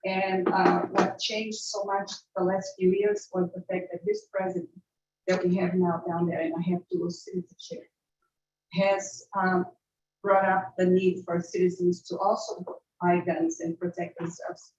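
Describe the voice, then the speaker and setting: A hoarse, nervous woman from Brazil making a public comment at a city meeting, halting with many fillers and coughs. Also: on the low side, flat delivery, a little mellow, slight background noise. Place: city commission chamber. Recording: distant roomy muffled hall mic.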